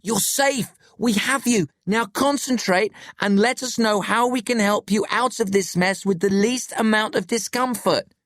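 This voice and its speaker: Narrator doing bossy worried boy taking charge in an audiobook, urgent, higher pitch. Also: unhurried, few pauses, a bit bright, no room sound, clean studio sound, lightly acted. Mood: controlled, soothing. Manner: stern, urgent, soothing by effort. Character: wary, bossy boy trying to keep control.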